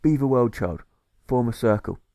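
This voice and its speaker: A man voicing a serene, wise boy in a storybook fable, with calm, instructive phrasing. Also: medium pitch, moderate pace, lightly acted. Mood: gently instructive.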